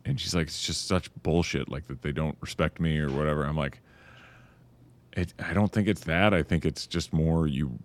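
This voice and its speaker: A man hosting a podcast, chatting in a relaxed, casual way and swearing freely. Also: rumbling low, moderate pace. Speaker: podcast host. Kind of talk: casual conversation.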